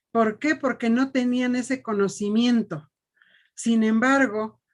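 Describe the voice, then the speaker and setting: A woman teacher telling her experience in a panel discussion, steady and earnest, from Mexico. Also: fairly high pitch.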